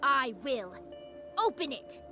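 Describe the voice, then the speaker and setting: An actress voicing a stern, fiercely protective squad fighter in a game cutscene, terse and commanding. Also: female voice, sits high, very animated, slow pace, sharp tone, heavily acted. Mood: menacing. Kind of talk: scripted game cutscene acting. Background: background music.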